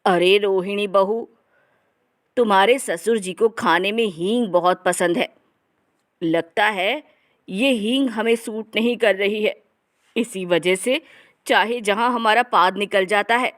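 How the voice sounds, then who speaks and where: A woman's voice: medium pitch, slow pace, natural voice. A woman voicing an older mother-in-law in a family comedy story, chatty, confiding and practical. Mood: realising, practical. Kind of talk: acted dialogue in a Hindi family comedy story.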